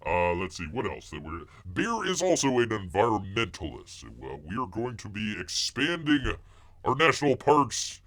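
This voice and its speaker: A man's voice: lowish voice, big pitch swings, normal speed, a bit bright. A man playing a blustery rambling bear. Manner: rambling, stammering, keeps calling out to people; refers to himself in third person. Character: bumbling blustery male bear, self-styled woods veteran. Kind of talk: comic character monologue.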